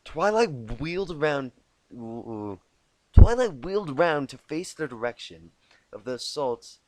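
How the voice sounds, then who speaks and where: A man narrating a storybook tale as an amateur reader, halting and often repeating words he stumbles on. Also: medium pitch, very animated, dry recording. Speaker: amateur fan fiction reader. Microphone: inexpensive home microphone.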